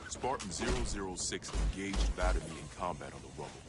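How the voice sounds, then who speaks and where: A male actor playing a stern military intelligence officer, narrating an enemy dossier in a measured, formal, grave manner. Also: low voice, animated. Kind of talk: scripted dramatic briefing narration. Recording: game cutscene audio with music underneath. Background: music.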